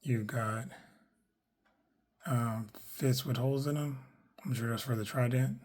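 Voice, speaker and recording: A man with a hoarse, worn voice, chatting casually through a toy unboxing, rambling with lots of fillers and self-corrections. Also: medium pitch, fairly even intonation, a little slow, a little mellow. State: hoarse, losing his voice. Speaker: toy collector reviewing action figures.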